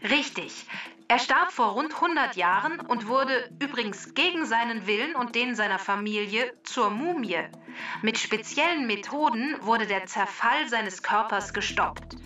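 Bright, sharp female talking database, voiced by a woman. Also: a little high, animated, far from the mic, faint music behind. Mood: matter-of-fact, instructive. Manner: precise, instructive, measured. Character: clever female talking database that explains facts. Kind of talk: scripted explanatory narration for children.

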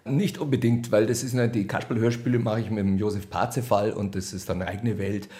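A man's voice: lowish voice, steady tone, brisk, warm tone. A man with a Bavarian accent chatting colloquially and wryly in an interview, as a radio play author and director. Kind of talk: casual interview talk.

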